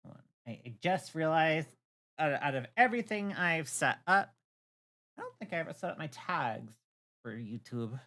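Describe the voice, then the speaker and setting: Bright, low-pitched woman streamer, stammering. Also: expressive, moderate pace. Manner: stammering repetition, freely swearing. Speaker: livestream host. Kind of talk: casual chat.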